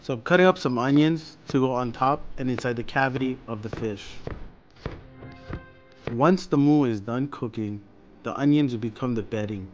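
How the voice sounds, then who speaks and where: A man casually explaining how he seasons and steams a fish as co-host, friendly and relaxed. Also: medium pitch, moderate pace, lo-fi sound, soft background music. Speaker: cooking channel co-host. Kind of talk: casual cooking instructions.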